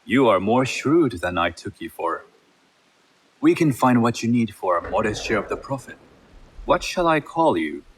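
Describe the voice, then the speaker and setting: An actor playing a shrewd, composed merchant heir in a game set in feudal Japan, speaking in a measured, formal way. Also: male voice, medium pitch, very animated, a little hiss or hum, close to an everyday voice, rough recording. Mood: measured, businesslike. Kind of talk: video game cutscene acting.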